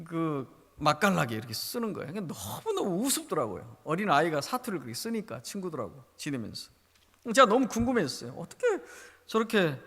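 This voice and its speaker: Man, pastor, Jeolla Korean accent, sermon, high bright voice, echoing church hall. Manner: storytelling, emphatic. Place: church sanctuary.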